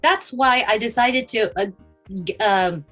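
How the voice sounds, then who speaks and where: A woman's voice: a little high, measured pace, bright, forceful tone, faint music behind. Designer woman answering interview questions. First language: English first language. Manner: listing, thinking aloud with fillers, occasional coughs.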